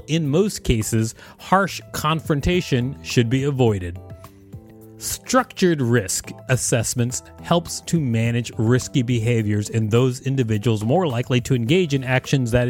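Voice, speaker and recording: A man co-hosting a podcast, reading an encyclopedia article aloud in a plain, steady way and joking between sections. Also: mid-range voice. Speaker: podcast co-host and reader.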